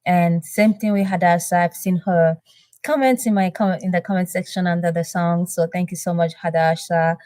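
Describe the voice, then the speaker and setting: Low-voiced woman chatting live. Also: steady tone, normal speed, no echo, studio quality. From Benin. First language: French. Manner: chatty, warm thanks, reads chat aloud with many fillers. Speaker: reaction channel host. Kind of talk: live-stream chat with viewers.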